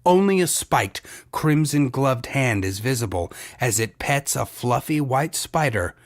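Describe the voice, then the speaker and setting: A man's voice: medium pitch, animated, measured pace. A man giving theatrical scripted narration as the storyteller of an adventure audio drama.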